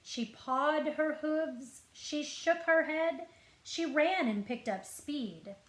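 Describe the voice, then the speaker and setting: Slow-reading woman storyteller in an echoey room. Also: high voice. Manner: expressive storytelling, clear deliberate phrasing, asks listeners questions. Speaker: school librarian. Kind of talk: picture-book read-aloud to children. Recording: roomy echo.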